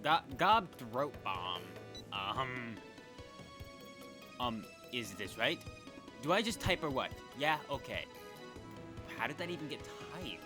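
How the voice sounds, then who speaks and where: A man's voice: mid-range voice, big pitch swings, takes it slowly, long pauses. A man casually commentating his gameplay in a chatty, rambling way, often correcting himself mid-sentence. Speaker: let's play gamer. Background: game music.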